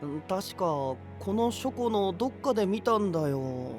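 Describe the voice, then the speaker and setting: A friendly, impulsive young knight with a high, boyish voice and very lively intonation. The casual, expressive audio drama delivery sits over soft music. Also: male voice, moderate pace. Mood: searching, musing. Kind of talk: Japanese anime-style voice drama. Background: background music.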